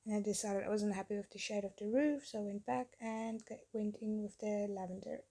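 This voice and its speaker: Gravelly, mellow-voiced woman narrating her painting. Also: medium pitch, steady tone, brisk. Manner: rambling, chatty, self-deprecating. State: losing her voice, getting sick. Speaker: artist narrating a painting video. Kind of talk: casual voice-over narration. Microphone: phone.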